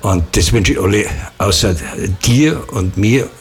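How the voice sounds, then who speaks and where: Husky low-voiced host. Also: male voice, expressive, unhurried. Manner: chatty, asks probing questions. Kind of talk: casual phone interview.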